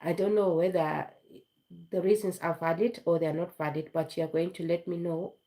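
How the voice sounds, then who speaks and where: A woman with a cold talks through a live stream in a chatty, rambling way, circling back to repeat her points. Her voice sits fairly low, and she stumbles over words now and then. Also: vocal fry, warm tone, rough recording. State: has flu, voice low and foggy. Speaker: vlogger hosting a live stream. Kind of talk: casual live-stream talk.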